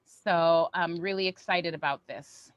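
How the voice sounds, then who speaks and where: A woman's voice: lowish voice, a little slow, smooth, dry recording, highs cut off. A woman co-hosting a theatre company's online show, giving casual, upbeat announcements to viewers in an informal way.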